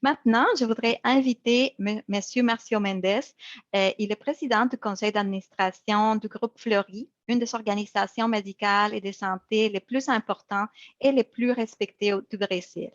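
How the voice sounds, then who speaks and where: A woman's voice: mid-range voice, fairly even intonation, normal speed, dry recording. Formal woman moderator, dull audio. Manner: formal, reading a prepared biography. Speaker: webinar moderator. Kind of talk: formal speaker introduction.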